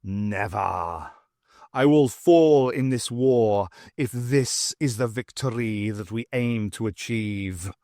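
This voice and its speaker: Anguished, proud tomcat in human shape, played by a man, slow, hissing through gritted teeth, audiobook drama. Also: on the low side, darkish tone, no echo, clean studio sound. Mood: defiant. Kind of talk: audiobook character voice.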